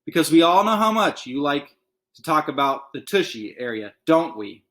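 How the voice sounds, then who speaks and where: A man voicing a calm male schoolteacher in a children's storybook, measured and wry but firm. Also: on the high side. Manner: measured, funny in a serious way.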